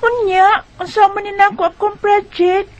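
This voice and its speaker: An actress playing a meek young schoolchild asking a parent for help in a radio drama. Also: female voice, extremely high pitch, little pitch movement, moderate pace. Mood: timid asking.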